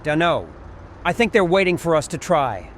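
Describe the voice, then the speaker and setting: A man's voice: a little high, big pitch swings, moderate pace, very noisy. A man playing a tough, terse army sergeant in an audio comic, barking clipped military commands. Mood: grim. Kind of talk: audio comic dub acting.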